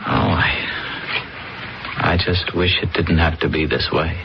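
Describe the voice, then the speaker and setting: Jittery young guy, very deep voice, muffled vintage radio sound with hiss. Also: level tone, slow pace, creaky, full character voice. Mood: uneasy. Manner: nervous, hesitant. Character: young man, ex-lifeguard, uneasy fake fiancé. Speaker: radio drama actor. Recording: dull old radio recording, hiss.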